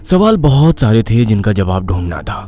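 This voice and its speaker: A man's voice: on the low side, sing-song, moderate pace, mellow. A man narrating a scripted horror story in a dramatic style, as a storyteller. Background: prominent music.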